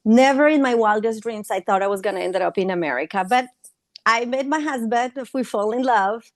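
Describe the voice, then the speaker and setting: A woman's voice: fairly high pitch, dry recording. Colombian-accented woman therapist, dry sound. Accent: Colombian Spanish. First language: Spanish. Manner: warm, halting, many fillers and restarts. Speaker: trauma therapist guest. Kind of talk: conversational interview.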